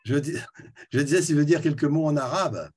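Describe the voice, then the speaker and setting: A man's voice: on the high side, brisk, darkish tone, husky. A man interviewing his guest and asking questions in a hesitant way, repeating words before he gets going.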